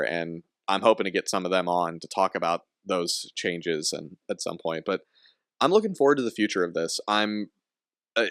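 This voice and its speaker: A man's voice: deep voice, a bit bright, smooth, dry recording, clean studio sound. A young man hosting a casual podcast, rambling with frequent laughs and restarts. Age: young adult. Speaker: podcast host.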